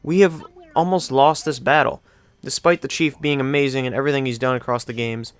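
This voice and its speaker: Clear-voiced, enthusiastic young man. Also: mid-range voice, fairly even intonation, fairly quick. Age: young adult. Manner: enthusiastic, effusive. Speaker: video game channel host. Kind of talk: casual countdown commentary.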